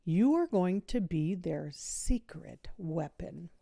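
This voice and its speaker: A woman reading a children's story, voicing an energetic, no-nonsense woman coach with an emphatic, driving delivery. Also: fairly low pitch, slow, vocal fry, no room sound, studio quality, heavily acted. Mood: firm, rallying. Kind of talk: children's audiobook character voice.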